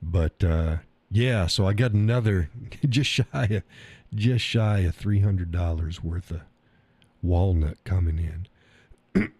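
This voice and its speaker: Low-voiced man, stuffy, rambling. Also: mellow, dry recording. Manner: rambling, folksy, reads chat aloud. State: stuffy nose. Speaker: live stream host. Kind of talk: casual live stream chat. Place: home workshop room.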